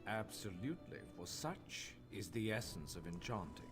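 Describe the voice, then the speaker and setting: An actor playing a learned master crafter in a fantasy game, speaking in a formal, measured, instructive manner. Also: male voice, on the low side, big pitch swings, a bit bright. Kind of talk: scripted fantasy game dialogue. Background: game music.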